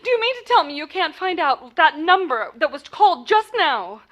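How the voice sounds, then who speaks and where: A woman's voice: extremely high pitch, very animated, moderate pace, a bit bright, exaggerated voice. An actress playing a frantic, imperious married woman in a 1940s style radio play, stammering and indignant. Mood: indignant, insistent. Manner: stammering, breathless, insistent, indignant.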